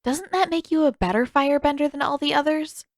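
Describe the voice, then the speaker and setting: A woman's voice: high-pitched, a little fast, no room sound, clean studio sound, voice pushed up. A woman voicing a spirited, impatient teenage waterbender girl with a playful, pouty manner in an audiobook. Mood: encouraging. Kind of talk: audiobook character dialogue.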